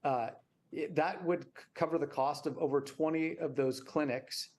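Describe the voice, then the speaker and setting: A man's voice: fairly high pitch, fairly even intonation, normal speed, a little mellow. An American man speaking as a nonprofit representative, explaining the work in an interview.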